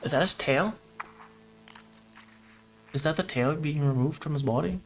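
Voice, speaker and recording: A man's voice: on the high side, measured pace, slight background noise, low-quality recording. A man doing a casual livestream reaction, reading captions aloud and commenting in a joking, irreverent, sweary way. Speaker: streamer reacting to horror videos.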